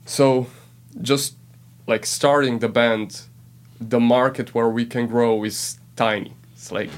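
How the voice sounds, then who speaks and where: A man's voice: mid-range voice, steady tone, slow pace. A man from Slovenia, a band member explaining things in a matter-of-fact way as a podcast guest. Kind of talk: casual interview chat.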